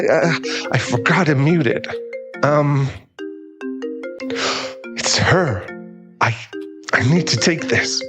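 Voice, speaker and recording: A man's voice: on the high side, pitched above the performer's own voice. Casting: male actor as remorseful bully, now a divorced dad; stammering, emotional, audio-drama delivery. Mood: flustered. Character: troubled divorced father, a remorseful bully in therapy. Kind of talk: dramatic audio roleplay acting.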